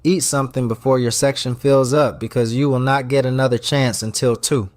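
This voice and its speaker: Brisk, dry-witted male restaurant boss played by a man. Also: mid-range voice, steady tone, darkish tone, dry recording, close to an everyday voice. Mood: brisk, commanding. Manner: commanding, clipped, dry humour. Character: brisk, wry male restaurant boss running a tight ship.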